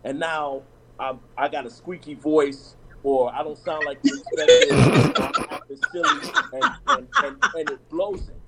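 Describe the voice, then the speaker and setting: Talkative man, somewhat high voice. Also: big pitch swings, normal speed, noisy background. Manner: conversational, restarts and "you know what I'm saying". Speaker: panelist on a discussion show. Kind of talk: casual group chat, telling personal stories.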